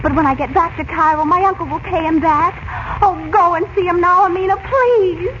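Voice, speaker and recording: An actress playing a plucky, impulsive American girl in a 1940s-style radio adventure serial, earnest and excitable, with an American accent. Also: very high voice, normal speed. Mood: urgent, pleading. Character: plucky, impulsive American girl held captive, naive and indignant. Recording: muffled old-radio sound with hiss.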